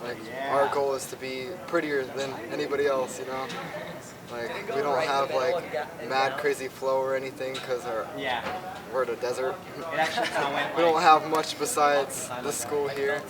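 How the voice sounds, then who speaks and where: Young student, distant outdoor mic. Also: male voice, medium pitch, level tone, unhurried, over a noisy crowd. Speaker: university student and parkour athlete. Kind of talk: casual interview. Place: outdoors on a campus.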